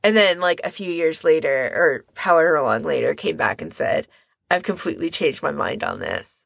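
A woman's voice: low voice, lively intonation, moderate pace, gravelly, fairly bright tone, dry recording, clean studio sound. A woman co-hosting a podcast in a casual discussion style. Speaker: podcast co-host.

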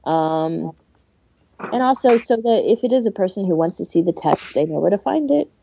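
A woman's voice: mid-range voice, lively intonation, moderate pace, gravelly, warm tone, slight background noise. A woman co-hosting a podcast in a casual, informal chat, giving her opinions freely on a dull recording. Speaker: podcast host.

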